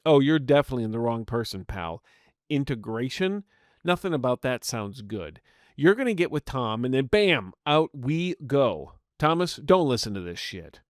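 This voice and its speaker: A man's voice: mid-range voice, sing-song, normal speed, no room sound, clean studio sound, lightly acted. A man voicing a hostile, protective, foul-mouthed inner personality in an audio story, blunt and slangy. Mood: angry, suspicious. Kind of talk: scripted audiobook character acting.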